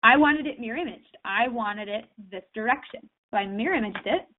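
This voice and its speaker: A woman's voice: fairly high pitch, a little fast, slight background noise. A woman with a slightly raised voice talks her viewers through a tutorial in a loose, chatty way, full of fillers. She is fighting a cold and sniffles now and then. The sound is thin and tinny, like a phone line. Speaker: tutorial host. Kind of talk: casual screen-share tutorial.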